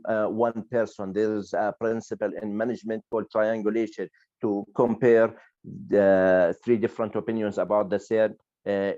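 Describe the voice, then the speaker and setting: A man giving advice as a panelist in an online discussion, speaking haltingly and repeating words as he goes. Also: lowish voice, a little mellow, no room sound. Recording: dull lo-fi online call audio.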